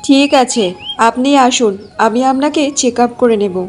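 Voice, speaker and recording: With music behind, a woman acts a lady doctor in a cartoon. The voice is high, and the manner is polite, businesslike and matter-of-fact. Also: moderate pace, natural voice. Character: courteous, businesslike female village doctor.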